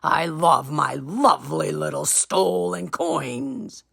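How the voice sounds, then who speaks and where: Woman reader playing a greedy boastful giant, slow and gloating, lightly put on. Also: sits low, gravelly, fairly bright tone, slightly rough, dry recording, studio quality, heavily acted. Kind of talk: storybook read-aloud.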